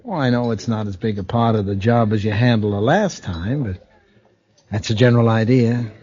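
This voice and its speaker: Husky, low-voiced American ex-con blackmailer. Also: male voice, normal speed, a little mellow. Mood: menacing. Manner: sly, wheedling, slangy. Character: smooth-talking, menacing ex-convict blackmailer, falsely sweet. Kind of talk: 1940s radio drama acting. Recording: dull old-time radio recording.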